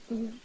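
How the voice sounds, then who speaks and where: A woman playing a flirty, affectionate, slightly nervous vampire in an intimate ASMR roleplay, speaking softly, coyly and hesitantly to the listener. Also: medium pitch, slight background noise, lightly acted. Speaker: voice actor in an audio roleplay.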